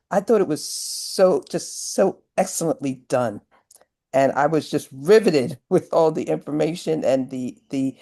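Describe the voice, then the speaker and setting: Woman commenting on a zoom call, deep for a woman, soft dark tone, earnest. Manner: earnest, emphatic. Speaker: audience member giving feedback. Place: online video call.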